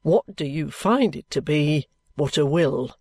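A reader voicing a sly, genial middle-aged male detective with a cheerful, coaxing, unhurried manner. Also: mid-range voice, no echo, lightly acted. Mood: wheedling. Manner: cheerful, coaxing, leisurely drawl. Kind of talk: audiobook character reading.

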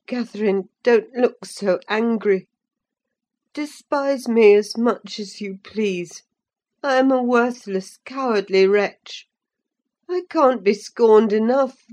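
A woman's voice: on the high side, a little mellow, pitched above the performer's own voice. A woman voicing a sickly, frightened teenage boy who sobs and begs. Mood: abject. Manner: sobbing, begging, trembling.

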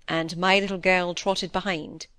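Woman as exasperated older-generation father, gruff, short-spoken, dryly wry, audiobook, close dry recording. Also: on the low side, flat delivery, measured pace, pushed and bright, no room sound. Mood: wry, probing. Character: gruff, exasperated middle-aged surgeon father.

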